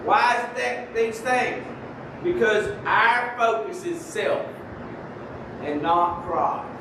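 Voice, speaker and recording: Guy preaching softly in a big echoey church, high voice, kinda far from the mic, bit of noise. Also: slow. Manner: soft-spoken preaching, holds his voice down on the mic. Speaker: lay preacher. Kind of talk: sermon. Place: church sanctuary. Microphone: microphone.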